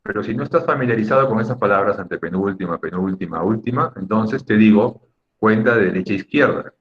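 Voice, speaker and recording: A man's voice: lowish voice, fast talker, muffled recording. A man teaching a class in an emphatic, didactic way, repeating key words and checking in with short tag questions. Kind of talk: Spanish lesson on accent rules for students.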